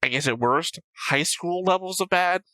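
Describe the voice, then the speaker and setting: A man's voice: high-pitched, normal speed, bright, forceful tone, no echo, clean studio sound. A man co-hosting a reaction show, chatting casually in a blunt, argumentative, sweary way. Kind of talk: casual discussion of an anime episode.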